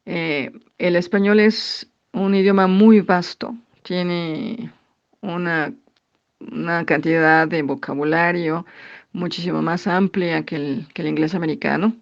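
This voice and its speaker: A Mexican woman answers interview questions slowly and thoughtfully, pausing on fillers as she works out each point. Her voice carries a gravelly edge, and the recording sounds dull and lo-fi. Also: fairly low pitch, fairly even intonation. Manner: thoughtful, halting with frequent fillers. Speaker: interviewee, English teacher.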